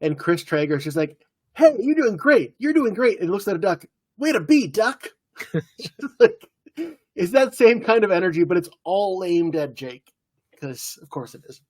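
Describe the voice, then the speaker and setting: A man co-hosting a casual TV podcast, recapping the plot in a relaxed way with playful impressions. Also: sits high, moderate pace. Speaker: podcast co-host. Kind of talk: casual chat about a TV episode.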